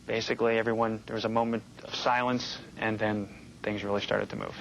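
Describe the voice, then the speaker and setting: A man's voice: lowish voice, level tone, a little fast, creaky, a bit bright, low-quality recording. A man with an American accent giving a first-hand interview account as an air traffic controller.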